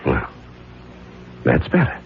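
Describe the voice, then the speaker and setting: An actor in a 1970s radio drama playing a brooding husband with dark secrets, speaking in a deliberate, dramatic, self-questioning way. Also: male voice, slight background noise, natural voice. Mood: taunting, wounded. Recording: dull 1970s radio recording with faint music.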